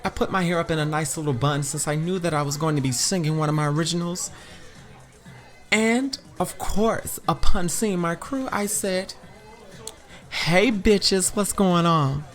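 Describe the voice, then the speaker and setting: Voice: mid-range voice, moderate pace. Sassy American storyteller playing a lovestruck woman, music behind. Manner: sassy, expressive, girlfriend-talk slang. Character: sassy, lovestruck woman with playful confidence, Topaz. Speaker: narrator and lead character. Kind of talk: first-person audio drama, chatty storytelling.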